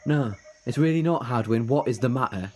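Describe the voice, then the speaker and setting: A man's voice: mid-range voice, a little fast, slight background noise, natural voice. A man playing an eager, ageless time-travelling adventurer in an audio drama, earnest and persuasive with flippant asides. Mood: exasperated. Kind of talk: fan audio drama acting.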